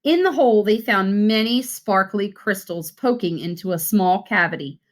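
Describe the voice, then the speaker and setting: A woman reading a children's story aloud with deliberate, animated, expressive narration. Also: medium pitch. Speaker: read-aloud reader.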